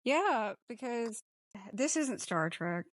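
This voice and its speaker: A woman's voice: on the high side, sing-song, slow pace, no room sound, studio quality. A woman hosting a podcast, talking casually through a film discussion. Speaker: podcast host.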